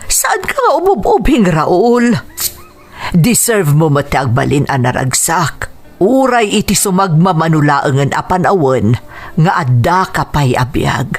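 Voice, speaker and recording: A woman's voice: low-pitched, very animated, measured pace, faint music behind, full character voice. An actress playing a caring, persuasive middle-aged family woman in a radio drama, with a Filipino accent. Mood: pleading. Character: caring, persuasive middle-aged mother and elder sister, practical. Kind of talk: Ilocano radio drama acting.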